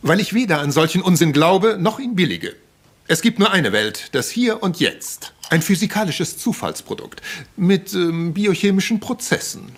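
A rationalist doctor with a husky, animated voice. He sounds blunt and clinical, dismissive in his phrasing, in radio crime drama style. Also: high voice, moderate pace, exaggerated voice.

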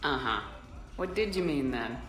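A woman's voice: extremely low pitch, animated, moderate pace, fairly bright tone. Composed female soldier in command, dry wit, confident, game dialogue, roomy sound, music faintly behind. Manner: dry, self-assured. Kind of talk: video game voice acting.

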